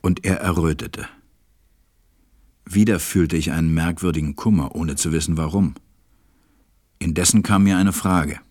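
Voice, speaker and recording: A stranded pilot telling his story in a radio play. His voice is low, tender and melancholy. It is recorded dry and close. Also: steady tone, unhurried, long pauses, darkish tone, dry recording. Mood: pensive, melancholy. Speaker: narrator. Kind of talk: audio drama narration and acting.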